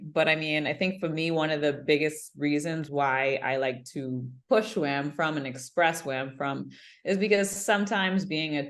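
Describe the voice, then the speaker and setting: A woman with a Bahamian accent, a yoga instructor being interviewed, relaxed and prone to light laughs. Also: deep voice.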